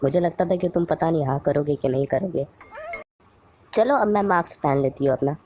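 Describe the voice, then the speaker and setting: An affectionate girl superhero from a cartoon dub, played by a woman, has a very low female voice with a dark, soft tone. She comes across as gentle, caring and sincere. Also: a little fast, slight background noise, natural voice.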